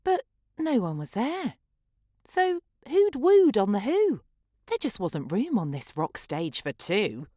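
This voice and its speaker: A woman reads a children's picture book in rhyme, theatrical and rhythmic. Her pitch rises and falls widely and her pace is unhurried. The recording is very dry. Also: wildly swooping pitch, warm tone, dry recording. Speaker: children's story reader. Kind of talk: expressive picture-book read-aloud.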